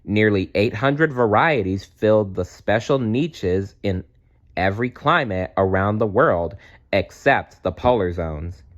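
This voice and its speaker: A man reads a children's storybook aloud in a slightly low voice. His delivery is slow and highly animated, with conversational asides mixed into the narration. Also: highs cut off. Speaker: storybook reader. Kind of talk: expressive read-aloud narration with personal asides.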